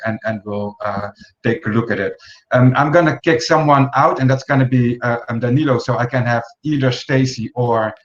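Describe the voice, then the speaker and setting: A man in his forties hosting an online round table, talking hesitantly with many fillers and restarts over a video call. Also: mid-range voice. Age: 40s. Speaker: host. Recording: echoey room, dull lo-fi sound.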